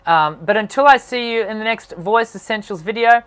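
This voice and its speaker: A man who hosts a singing channel, answering viewer questions on a live stream in an encouraging tone and often pausing with "um". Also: high voice, a bit bright, smooth. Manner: encouraging, talks with many "um" fillers. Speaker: singing teacher and channel host.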